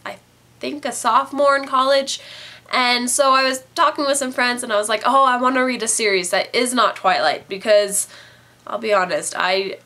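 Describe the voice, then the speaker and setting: A woman's voice: fairly high pitch, sharp tone. A woman in her twenties with a stuffy, nasal voice from a cold, chatting casually as a book reviewer. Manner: chatty, rambling with fillers.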